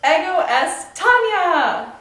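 A teenage girl plays host of a homemade cooking show, high and unhurried, giggling and tripping over her words. The sound bounces around an echoey room picked up by the camera. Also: big pitch swings, pushed and bright, slight background noise. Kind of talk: playful mock cooking show.

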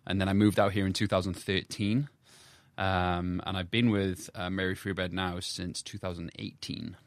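Welsh man in his thirties, very deep voice, dry close sound, easygoing chatty guest. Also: moderate pace, no echo. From South Wales. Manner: relaxed, chatty with frequent fillers and laughs. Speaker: physical therapist. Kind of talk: casual interview talk. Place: submarine galley.

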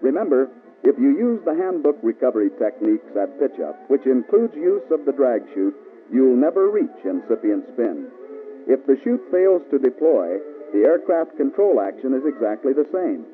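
An American man reading scripted instructional narration for a mid-century military training film, measured, authoritative and precise. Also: medium pitch, sing-song, phone-quality sound. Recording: band-limited old film soundtrack with music.

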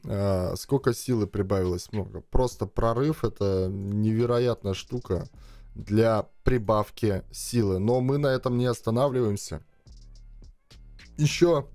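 A man's voice: fairly low pitch, a little mellow, dry recording. A young man streaming a mobile game, chatting casually and thinking aloud to his viewers from a headset in a small room. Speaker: gaming streamer. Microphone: headset, webcam framing. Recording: dry close sound, faint music behind.

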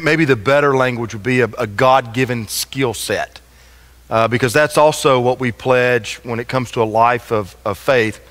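A middle-aged man preaching a sermon as a pastor, in a halting, conversational way from a church pulpit. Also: mid-range voice, fairly bright tone. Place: large church sanctuary, at the pulpit. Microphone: pulpit gooseneck microphone. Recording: long church reverb.

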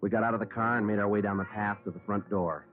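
An actor playing a terse, businesslike police sergeant in a 1950s radio drama, clipped, deadpan and matter-of-fact. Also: male voice, on the low side, fast talker, lightly acted. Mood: flat, factual. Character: terse, businesslike male police detective sergeant who narrates. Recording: muffled old radio recording with music.

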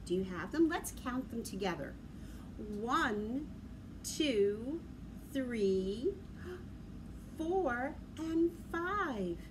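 A middle-aged woman leads a children's rhyme session in a high, very animated voice. She speaks slowly and encouragingly, with simple instructions for little ones. She is recorded by a camera in a room with clear background noise. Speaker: children's library story-time leader. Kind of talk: children's sing-along and rhyme session, talking to young children. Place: indoor library room with large windows. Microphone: camera a few feet away. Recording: clearly noisy room ambience.

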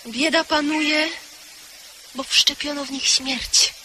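A woman's voice: high-pitched, steady tone, slow pace, pushed and bright, a little hiss or hum, full character voice. An actress playing an earnest female welfare inspector in a radio play, pointed and sincere. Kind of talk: 1970s radio drama acting.

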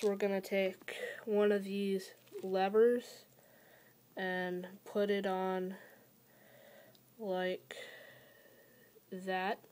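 A young woman talks through a tabletop build very slowly, full of ums and pauses. Her medium-pitched voice often fades at phrase ends. She is recorded close on a built-in camera mic in a small dim room at home, with faint hiss behind. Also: a little mellow. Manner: slow, hesitant, many fillers and pauses. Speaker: toy-building tutorial host. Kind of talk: casual how-to narration.

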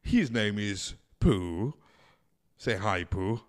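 A man hosting a comedy show in a theatrical, mock-formal way. His pitch swings widely while he keeps an unhurried pace. He speaks into a desk mic in a small home studio. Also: animated. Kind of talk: hammy scripted comedy hosting. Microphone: desk mic on boom arm.

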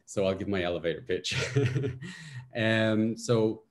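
A man's voice: lowish voice, takes it slowly. A Dublin Irish man in his forties, a guest telling his life story on a video call from his living room with intense energy. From Ireland. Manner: intense, animated storyteller with hand gestures. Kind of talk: casual chat, personal story.

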